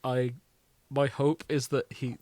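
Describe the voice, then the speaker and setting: A man's voice: mid-range voice, level tone, slow pace, a little mellow, dry recording. Casual man podcaster with a dry, close sound. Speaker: podcast co-host. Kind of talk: casual chat.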